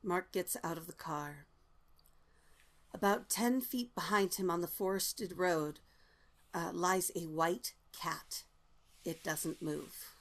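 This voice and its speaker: A woman's voice: fairly low pitch, slow pace, long pauses. A woman narrating stage directions from a script at a table read.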